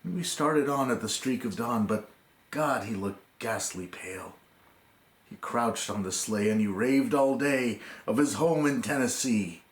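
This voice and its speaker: A man reciting a narrative poem theatrically, in measured phrases with dramatic pauses. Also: medium pitch. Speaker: poem reader. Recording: echoey room recording.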